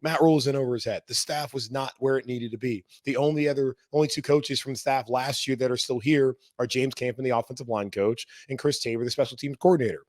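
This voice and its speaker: A hoarse man hosting a sports podcast, talking in a casual, rambling way. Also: medium pitch, fairly even intonation, quick pace, few pauses, a little mellow, dry recording, studio quality. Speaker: podcast host.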